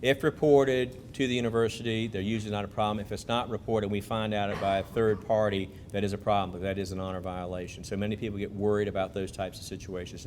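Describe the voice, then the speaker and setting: A man's voice: lowish voice, a little hiss or hum. A man, a college admissions officer, giving a conversational talk to parents and taking their questions. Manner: conversational, rhetorical questions to the audience. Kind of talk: presentation to parents with Q&A. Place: school event hall.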